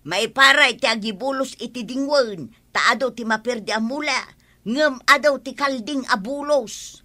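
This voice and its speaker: High, bright-voiced nagging village woman, played by an actress. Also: normal speed. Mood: matter-of-fact. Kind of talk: comedy radio drama acting.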